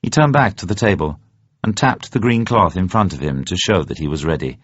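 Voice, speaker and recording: A man reading a story as a narrator in a measured, suspenseful, dramatic way. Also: sits low, lively intonation, husky. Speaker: audiobook narrator. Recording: dull, muffled, roomy radio recording.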